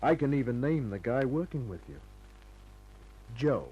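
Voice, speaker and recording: An actor playing a hard-boiled, cynical private detective in a 1950s radio crime drama, tough and clipped in delivery. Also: male voice, medium pitch, sing-song, unhurried, warm tone, dull audio, a little hiss or hum. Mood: tough, pressing.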